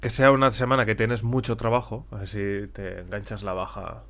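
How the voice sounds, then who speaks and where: A man's voice: fairly low pitch, level tone, fairly quick, crowd noise. A man from Spain with a slightly stuffy, congested nose, chatting casually as a podcast co-host. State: mild head cold. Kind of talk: casual conversational podcast chat.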